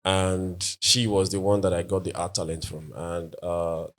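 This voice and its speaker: A Nigerian man in his forties whose first language is Igbo, answering panel questions as an artist in a thoughtful, halting way, with many fillers and restarts. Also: deep voice, flat delivery.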